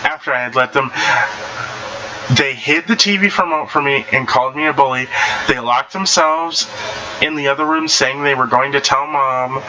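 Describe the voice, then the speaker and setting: A man casually reading anecdotes aloud in an animated way, stumbling and rereading phrases as he goes. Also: mid-range voice, moderate pace, noisy background. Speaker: online story reader.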